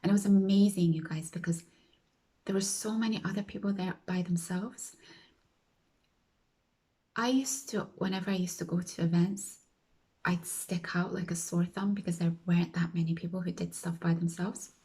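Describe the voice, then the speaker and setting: A woman talks casually to her viewers in a mellow, darker voice that sits a little low. She rambles and corrects herself as she goes, often sinking into a near-whisper. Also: fairly even intonation, moderate pace. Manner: chatty, self-correcting, often drops to a whisper. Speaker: vlogger.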